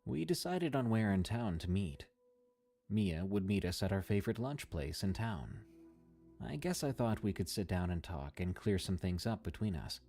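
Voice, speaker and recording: A man reading a first-person horror story in a measured, somber scripted narration. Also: low voice, big pitch swings, creaky, warm tone, soft background music.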